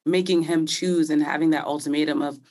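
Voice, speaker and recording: Slightly hoarse woman hosting a podcast. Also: low-pitched, fairly even intonation, fairly quick, darkish tone. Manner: chatty, laughs easily. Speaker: podcast host. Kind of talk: casual sports talk.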